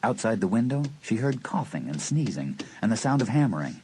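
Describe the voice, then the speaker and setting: A man's voice: lowish voice, big pitch swings, a little fast, dark tone, slightly rough. A man narrating a dramatised teen horror story in a scripted style. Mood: measured suspense. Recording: noisy dramatised audio tape.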